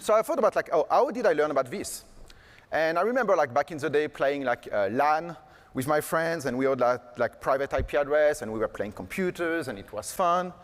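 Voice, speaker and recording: A man giving a casual, joking conference keynote on stage, full of fillers like "like" and "uh". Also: on the high side. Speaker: keynote speaker. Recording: long hall reverb.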